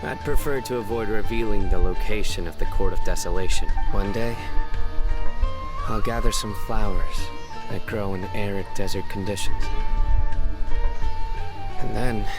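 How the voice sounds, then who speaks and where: A man playing a stern young game lawman with a low voice. He is stoic and quietly weary, speaking with grave restraint and measured phrasing over clear background music. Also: close to an everyday voice, poor audio. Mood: reflective. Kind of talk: dramatic video game dialogue.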